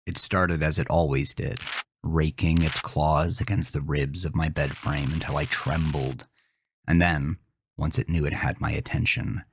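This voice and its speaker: A man narrating a first-person horror story with intense, deliberate, theatrical delivery and a husky voice. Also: very deep voice, no echo, studio quality.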